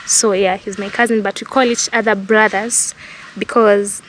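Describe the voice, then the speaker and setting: A woman's voice: mid-range voice, level tone, moderate pace, a little hiss or hum, rough recording. A young Kenyan woman with a Kenyan accent, casually recalling her childhood to camera as a vlogger, in a reflective, reminiscing way.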